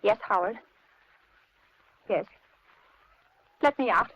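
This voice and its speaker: Anxious housewife, widely swinging voice. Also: female voice, medium pitch, lively intonation, slow pace, a bit bright, husky, no echo, exaggerated voice. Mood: pleading. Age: middle-aged. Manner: anxious, hesitant, dramatic. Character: frightened, kindly middle-aged housewife, mother of soldiers. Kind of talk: 1950s radio suspense acting and first-person narration. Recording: muffled, noisy 1950s radio recording.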